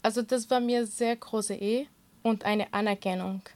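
A woman's voice: medium pitch, steady tone, normal speed, darkish tone. A woman whose first language is Kurdish, giving earnest, conversational interview answers in non-native German as a guest. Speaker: interview guest, swimming teacher and social media creator.

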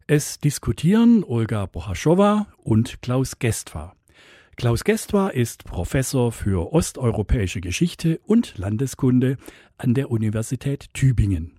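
Radio host, male; dark, husky voice with a lively, animated pitch; dry studio sound, measured announcing. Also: no room sound. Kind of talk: scripted radio introduction.

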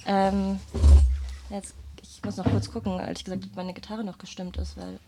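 A woman's voice: mid-range voice, flat delivery, normal speed, gravelly, heavy background noise. A woman chatting casually as an interview guest, telling her story in a hesitant, thoughtful way. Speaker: guest performer, singer and guitarist. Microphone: microphone.

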